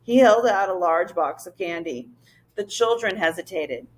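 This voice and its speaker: A woman's voice: on the low side, normal speed, poor audio. A woman narrating a children's story as a teacher reading aloud to a class.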